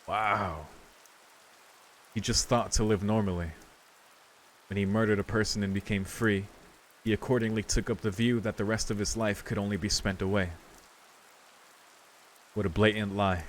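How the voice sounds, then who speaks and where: Low-voiced man reading along, noisy background. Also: fairly even intonation, normal speed, pauses often. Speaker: video game streamer reading along. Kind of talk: reading visual novel narration aloud, casual commentary.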